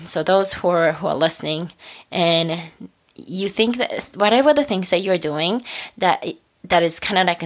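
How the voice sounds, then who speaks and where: A woman wraps up her podcast episode in a relaxed, chatty way, her voice somewhat low and husky from weeks of coughing. She sounds encouraging and laughs softly now and then. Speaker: podcast host. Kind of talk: casual solo podcast wrap-up.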